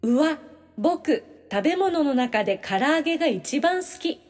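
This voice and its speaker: Woman voicing an eager, high-pitched little boy. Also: animated, measured pace, long reverb tail, faint music behind. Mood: eager, pleading. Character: eager food-loving little boy begging for fried chicken. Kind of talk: scripted language-lesson dialogue.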